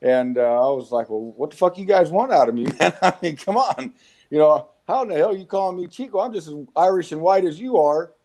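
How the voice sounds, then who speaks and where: A man in his forties with a Southwest American accent, telling rough, profane stories of his youth as an interview guest. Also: a little high, lively intonation, fairly quick, darkish tone. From United States. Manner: rough-spoken, swearing, frequent restarts. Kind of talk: casual storytelling interview.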